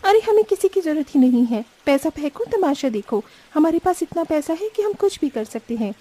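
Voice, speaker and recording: A woman's voice: high voice, lively intonation, moderate pace, warm tone. A woman voicing a haughty, spoiled and showy young rich sister-in-law in a cartoon family story. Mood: boastful. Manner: scornful, complaining, showy.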